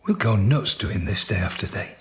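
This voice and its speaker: A man's voice: lowish voice, expressive, moderate pace, fairly bright tone, breathy edge, full character voice. A man acting in a radio drama as an exasperated sceptic who scolds and dismisses. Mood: dismissive. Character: exasperated, sceptical man worried about budgets. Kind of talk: naturalistic radio drama dialogue.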